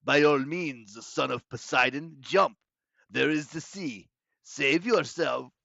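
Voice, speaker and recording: A man's voice: a little high, gravelly, a bit bright, dry recording, highs cut off, heavily acted. A man voicing a menacing monster in disguise, pompous and condescending, in a storybook reading. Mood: mocking, exultant. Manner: pompous, condescending, deliberate villain delivery. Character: menacing, gloating male monster disguised as a schoolmaster.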